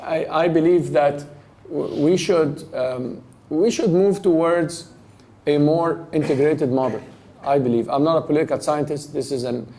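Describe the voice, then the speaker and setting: A man's voice: fairly high pitch, normal speed, dark tone, a little hiss or hum. A man giving a public lecture and taking questions, thoughtful, often restarting his phrases. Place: lecture hall.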